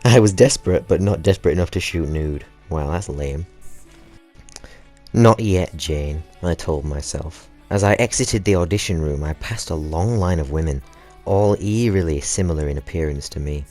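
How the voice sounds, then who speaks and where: A British man with a low-pitched voice reads a story aloud in a loose, comedic way. He is cheeky and sweary, slipping out of the narration into chatty asides, with faint music behind him. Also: a little fast, warm tone. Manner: sweary, cheeky, often breaking into laughter. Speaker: host of a gaming channel reading stories aloud.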